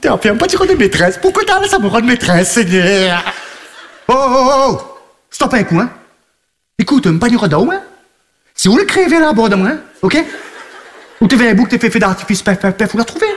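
Man doing a boisterous high-voiced party host. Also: very animated, normal speed, long pauses, full character voice. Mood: flustered, chatty. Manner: broken French, rambling, laughs through his words; sing-song chanting and sudden shouting. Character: boastful party-loving man juggling wife and mistress. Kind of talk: radio comedy sketch.

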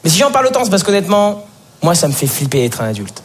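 A nineteen-year-old man doing a stand-up routine on stage, animated and talking to the audience while acting out voices. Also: a little high, rapid-fire. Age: teens. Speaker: stand-up comedian. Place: stage before a live audience. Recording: live stage, crowd and noise.

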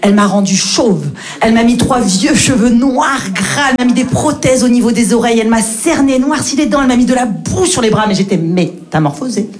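A young woman on stage tells comic stories about her family and slips into her parents' voices. Her speaking voice sits slightly high, and her delivery is relaxed and conversational. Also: a little fast. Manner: storytelling, mimics her parents. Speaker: stand-up comedian. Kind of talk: stand-up comedy set. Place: comedy club stage.

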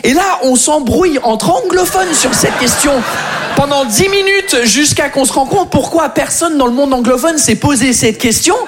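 A man in his thirties from England with an English accent, performing an animated stand-up routine that slips between French and English. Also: extremely high pitch, normal speed, crowd noise. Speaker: stand-up comedian.

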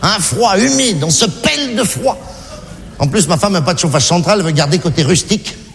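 A man doing observational stand-up, telling stories and complaining with lively mock outrage. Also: sits high, brisk, creaky. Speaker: stand-up comedian. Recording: live hall recording aired on radio.

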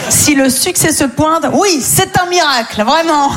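A woman's voice: high-pitched, animated, a little slow, crowd noise. A woman in her thirties doing a stand-up routine on a club stage, wry and self-mocking. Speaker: stand-up comedian.

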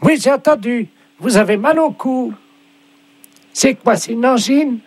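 A man's voice: very high voice, a little slow, no room sound, full character voice. A man voicing a hard-of-hearing, chatty and stubborn old lady in a radio prank phone call, fussy and sweetly condescending. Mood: coy, confiding.

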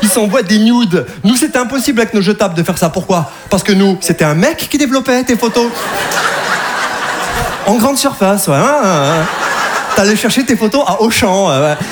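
A man in his forties performs stand-up in a comedy venue, his voice pitched high for his sex. He teases the crowd with quick asides, and the room noise is clearly audible behind him as the audience laughs. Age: 40s. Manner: lively, teasing the crowd, frequent asides. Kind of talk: stand-up routine on stage. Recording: live stage recording with audible room noise.

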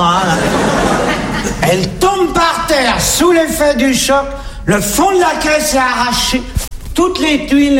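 A man telling a comic story on stage, drawn out and animated with sudden exclamations. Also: high-pitched, heavy background noise. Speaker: stand-up comedian. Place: live stage before an audience. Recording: roomy, distant live recording with loud audience.

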